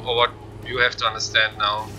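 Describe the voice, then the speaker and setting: A man's voice: fairly low pitch, little pitch movement, brisk, very noisy. A man with a non-native English accent giving explanatory live commentary as he plays through a video game speedrun. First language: not English. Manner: explanatory, plain. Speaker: gamer, speedrunner.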